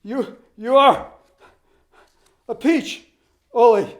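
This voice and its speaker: A man's voice: very high-pitched, moderate pace, voice pushed up. A blustering football captain, acted by a man, sputtering reproaches in a raised, strained voice. He gasps between phrases, winded from running. Storybook reading. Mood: pleading breathless. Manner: sputtering, scolding, then pleading. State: out of breath.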